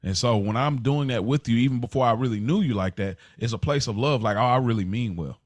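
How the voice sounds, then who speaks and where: A man's voice: medium pitch, fast talker, no echo. A man speaking as a podcast co-host in casual, reflective conversation, earnest and conversational in his delivery.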